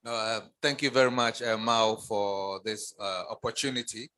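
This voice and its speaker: A male panelist speaks in a bright, forceful tone. He builds his points deliberately and pauses between phrases. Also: fairly low pitch, steady tone. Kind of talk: panel discussion remarks.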